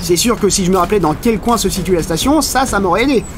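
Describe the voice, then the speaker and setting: A man's voice: sits high, lively intonation, quick pace, music in the background. A man playing a lost holidaymaker muttering sarcastically to himself in a comedy audio drama. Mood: sarcastic grumbling. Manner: sarcastic, self-talking. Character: lost stranded holidaymaker grumbling and cheering self on.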